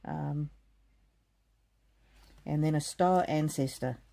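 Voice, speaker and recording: A middle-aged woman from South Africa giving a gentle live oracle card reading, speaking softly and addressing viewers by name. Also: low-pitched, slow pace, vocal fry. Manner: gentle, soothing, talks straight to viewers by name. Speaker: card reader.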